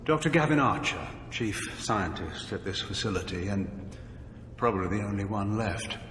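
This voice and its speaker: A man's voice: fairly low pitch, moderate pace, fairly bright tone, natural voice. Roomy, grave actor as a defensive male scientist. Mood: weary, grave. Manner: grave, articulate, self-justifying. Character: earnest, defensive male chief scientist of a failed experiment. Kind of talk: video game dialogue acting. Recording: roomy echo with faint background noise.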